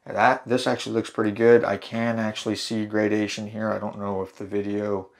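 A man hosting a hands-on darkroom printing tutorial, talking through each step in a relaxed way with fillers and restarts. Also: lowish voice, fairly quick. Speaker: photography channel host. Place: home darkroom in a laundry room.

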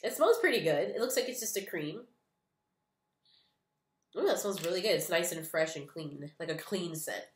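A woman's voice: lowish voice, expressive, moderate pace, pauses often. Stuffy-nosed young woman, echoey room. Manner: chatty, rambling, many "um" and "like" fillers. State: sick with a stuffy, nasal voice. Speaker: beauty vlogger. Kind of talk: casual unboxing chat. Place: small room indoors.

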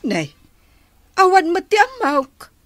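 A woman's voice: extremely high pitch, huge pitch swings, slow pace, fairly bright tone, faint music behind, full character voice. A woman playing an aggrieved, bossy wife in debt in an Ilocano radio comedy drama, speaking with an Ilocano accent. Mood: defensive.

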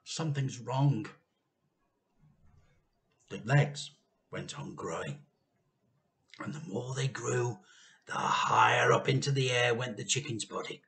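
A man in his fifties reading a children's story aloud, animated and emphatic, drawing out key words. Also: mid-range voice, unhurried, creaky, pauses often. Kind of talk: expressive children's storybook narration. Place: small living room indoors.

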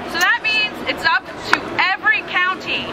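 A woman's voice: very high-pitched, normal speed. A woman speaking publicly as an advocate at a rally, explaining things in a semi-extemporaneous way. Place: outdoors in a city. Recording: loud outdoor crowd and traffic noise.

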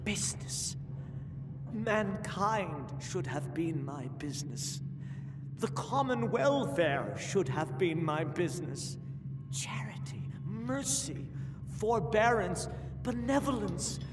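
A man's voice: high voice, expressive, slow pace, noisy background. An actor playing the anguished ghost of a dead businessman in an audio drama, grave, theatrical and declamatory. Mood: anguished, remorseful. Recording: cavernous reverb, distant, loud music.

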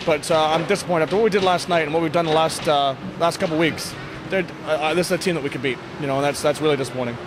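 A man in his thirties speaks quickly in a fairly high voice, with clear venue noise behind him. He is a coach talking candidly after a game. His delivery is plain and halting, doubling back on words. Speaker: ice hockey bench coach giving a post-game interview. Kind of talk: post-game interview, casual and candid. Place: indoor sports hall, blue block walls. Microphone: handheld interview microphone. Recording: noisy venue with background ambience.

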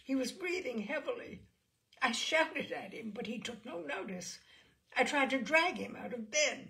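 Audiobook role: woman reader as tormented wife, intense and bitter, deliberate pace with gravelly edges. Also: on the high side, voice pushed up, full character voice. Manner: dramatic, intense, hushed then rising to cries. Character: tormented younger wife confessing, bitter, seething, haunted.

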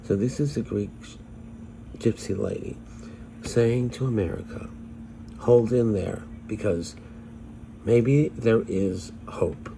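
A man's voice: on the low side, slow, vocal fry, pauses often, warm tone, a little hiss or hum, poor audio. A man on a phone camera playing a struggling homeless fortune-teller woman, talking in a pleading, plaintive way. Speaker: vlogger in character. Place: small room indoors. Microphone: phone at arm's length.

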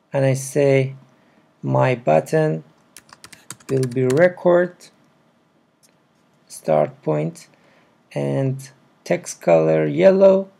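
A man with a non-native English accent narrates a casual step-by-step tutorial, with halting, broken phrasing. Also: fairly high pitch, vocal fry, dark tone. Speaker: programming tutorial presenter. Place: indoors at a computer.

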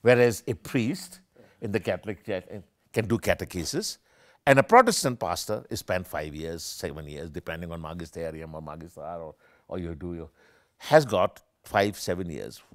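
An older man, a professor, giving an animated and discursive academic lecture with many self-corrections, seated in a small room with armchairs. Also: low voice, dry recording, clean studio sound. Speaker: keynote speaker, professor.

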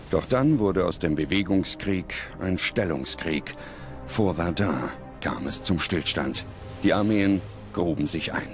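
A man reading scripted documentary narration in a measured, dramatic manner. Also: low-pitched.

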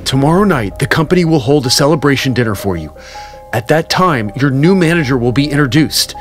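A man's voice: mid-range voice, expressive, a little fast, lightly acted. An actor playing a bitter, commanding male company boss in an audio drama, with a smug manner. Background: background music.

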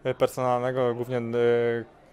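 Młody bokser, płaski głos, dużo wahań. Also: male voice, medium pitch, monotone, unhurried, darkish tone, smooth. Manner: hesitant, many fillers and repeated words. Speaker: boxer. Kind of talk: casual interview answers. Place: event press wall, indoors. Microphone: handheld mic held by interviewer.

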